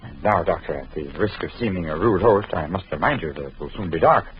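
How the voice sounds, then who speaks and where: A nervous, evasive host, with a lowish voice that swings widely as he covers his tracks. The sound is a dull, lo-fi old radio recording with faint hiss. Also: expressive, fast. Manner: polite but evasive and flustered. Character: nervous Frenchman hiding his lover, evasive. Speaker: actor in a radio drama. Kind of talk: 1940s radio acting.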